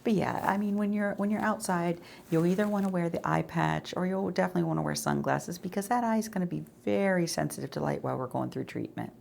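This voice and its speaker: A woman speaking softly in an ASMR medical roleplay, explaining things in a reassuring, conversational way as an eye doctor. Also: fairly low pitch, fast, vocal fry, hardly any pauses, a little hiss or hum. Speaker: ASMR creator role-playing an eye doctor.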